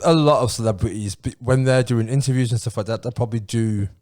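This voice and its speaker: Deep-voiced man, loud and chatty. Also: quick pace. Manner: deep, lower-register voice, loud, thoughtful and explanatory. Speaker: podcast co-host. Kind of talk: casual banter.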